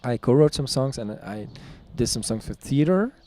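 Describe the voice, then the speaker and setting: Hesitant, chatty man with a mellow voice. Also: medium pitch, animated, normal speed, a little hiss or hum. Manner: hesitant, chatty, laughs often. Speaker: vlog host, singer-songwriter. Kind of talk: casual conversation.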